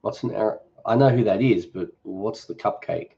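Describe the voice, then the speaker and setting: Mellow, easygoing Australian man. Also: fairly low pitch, normal speed, gravelly, muffled recording. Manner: relaxed, rambling, joking. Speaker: cooking channel host. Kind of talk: casual live-stream Q&A.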